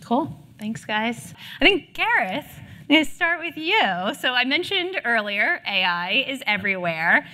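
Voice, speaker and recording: A woman moderating a conference panel before a live audience, upbeat and brisk, with quick affirmations. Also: a little high, sing-song, bright, forceful tone.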